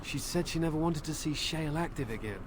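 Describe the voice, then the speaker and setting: A man's voice: a little high, fast, noisy background, close to an everyday voice. An actor playing an anxious, bitter middle-aged village man pleading earnestly for his daughter in a video game. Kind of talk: video game dialogue acting.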